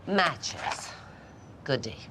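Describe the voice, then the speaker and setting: A woman's voice: very slow, background noise. A middle-aged woman playing an abrasive, sarcastic, domineering film actress in a period TV drama, hard-voiced, blunt and commanding. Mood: sarcastic, biting. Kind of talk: television period drama acting.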